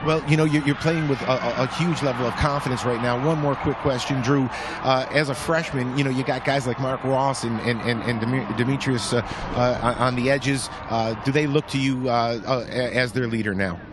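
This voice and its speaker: A man as a sideline reporter interviewing a player on a stadium field at night with a handheld mic, halting and full of fillers. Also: medium pitch, fairly even intonation. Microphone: handheld microphone. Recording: noisy outdoor field.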